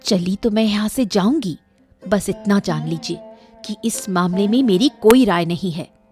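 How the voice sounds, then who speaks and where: A woman voicing a sharp-tongued, disapproving queen in a cartoon, with a pointed, questioning delivery. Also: mid-range voice, measured pace, soft background music, natural voice. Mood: indignant. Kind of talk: Hindi cartoon dialogue acting.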